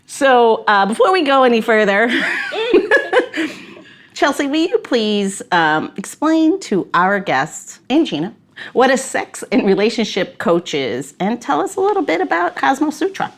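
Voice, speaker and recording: A woman's voice: fairly high pitch, sing-song, a little slow. A woman in her forties hosting a podcast, joking and giggling through casual chat. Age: 40s. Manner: giggly, jokey, playful. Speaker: podcast host.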